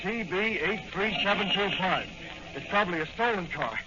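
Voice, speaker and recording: High-pitched, excitable brash young man. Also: moderate pace. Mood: excited. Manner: brash, exclamatory, slangy. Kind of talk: 1940s radio acting. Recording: noisy, muffled old-time radio recording.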